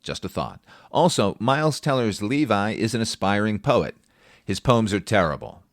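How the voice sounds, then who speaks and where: Guy podcaster reviewing movies, kinda low voice, super dry close sound, sardonic and sweary. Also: sing-song, normal speed, no echo. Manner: wry, profane, opinionated. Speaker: podcast host and film reviewer. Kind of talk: conversational film review with sardonic asides.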